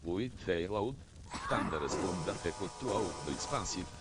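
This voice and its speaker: A man's voice: low-pitched, expressive, moderate pace, over music. A text-to-speech male voice reading fan fiction aloud, spelling some words out letter by letter.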